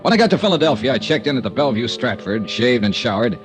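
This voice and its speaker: An actor playing a wry, wisecracking freelance insurance investigator who also narrates, in a 1950s radio drama. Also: male voice, medium pitch, sing-song, fast, faint music behind, natural voice. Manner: wry, joking, laid-back narration. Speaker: lead and narrator.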